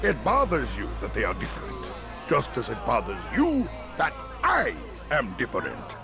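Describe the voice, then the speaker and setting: An actor playing a decadent, resentful merchant lord in a video game, with grandiose, mock-courteous oratory and a creaky voice. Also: male voice, medium pitch, huge pitch swings, moderate pace, over music. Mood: wounded, indignant. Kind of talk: dramatic video game cutscene acting.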